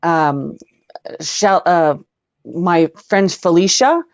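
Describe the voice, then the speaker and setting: Female rock-singer guest, low-pitched, effusive and emphatic storyteller, captured in a roomy space with dull audio. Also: slow, creaky. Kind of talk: casual anecdote storytelling in an interview.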